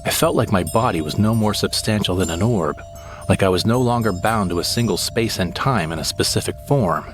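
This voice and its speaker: A man voicing a male sidekick who narrates his own space adventure in a measured storytelling way. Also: lowish voice, natural voice. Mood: wry. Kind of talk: scripted audio drama narration. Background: background music.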